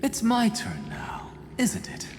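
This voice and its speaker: A man's voice: very high-pitched, huge pitch swings, takes it slowly, background noise, full character voice. A man playing a proud, cunning scholar in a game, smug and theatrical, savoring his words. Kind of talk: dramatic game dialogue. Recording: echoey hall, faint music.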